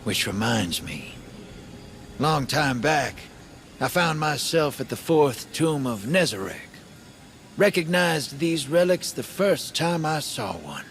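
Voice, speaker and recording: A man's voice: a little high, sing-song, takes it slowly, fairly bright tone, a little hiss or hum, full character voice. A man voicing a wry, roguish drifter in a video game, with a drawling, folksy swagger and teasing slang. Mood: wry, reflective. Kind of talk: video game character monologue.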